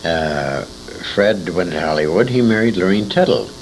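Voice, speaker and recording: A man's voice: deep voice, expressive, moderate pace, a little hiss or hum, low-quality recording. An older American man telling anecdotes as an interview guest, in a salty, emphatic way, repeating phrases for effect. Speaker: interview guest, old-time radio veteran. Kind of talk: animated anecdotal storytelling.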